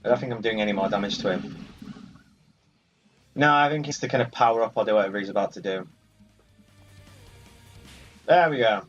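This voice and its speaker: A man's voice: lowish voice, a little fast, pauses often, fairly bright tone. A man casually commentating on his own video game playthrough as the host, in a playfully boastful way. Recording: muffled, distant mic over game music. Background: game music.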